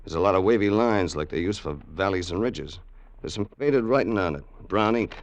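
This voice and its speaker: An actor playing a loyal, wary gangster's sidekick in an old radio crime drama, colloquial and hardboiled. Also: male voice, sits low, animated, fairly quick. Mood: hesitant, wary. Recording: noisy old radio recording.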